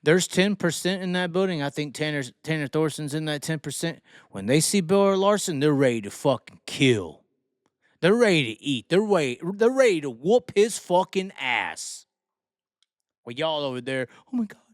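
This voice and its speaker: A man's voice: on the high side, animated, moderate pace, no echo, clean studio sound. A man giving opinionated racing commentary in a brash, emphatic, profanity-heavy way, repeating phrases to drive them home. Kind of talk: opinionated solo rant commentary.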